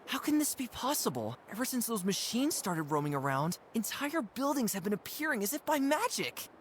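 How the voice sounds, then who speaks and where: A young actor voicing an earnest, puzzled animal adventurer in a cartoon game, wondering aloud with expressive observations. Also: medium pitch, fairly quick, slight background noise. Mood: amazed.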